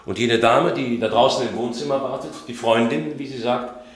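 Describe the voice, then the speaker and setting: An actor playing a methodical police investigator in a radio play, asking clipped, probing questions with polite insistence. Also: male voice, mid-range voice, normal speed, reverberant, close to an everyday voice. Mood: polite, insistent. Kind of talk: German radio play acting.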